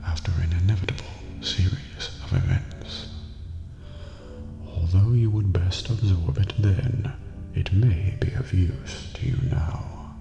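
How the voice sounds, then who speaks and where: Deep, creaky, slow master of a dream prison. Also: male voice, full character voice. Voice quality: deep, breathy, creaky near-whisper. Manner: slow, formal, measured, cryptic. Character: eerie, courteous old master of a dream prison. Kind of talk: scripted ASMR roleplay based on a video game. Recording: reverberant hall, muffled, music behind. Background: music.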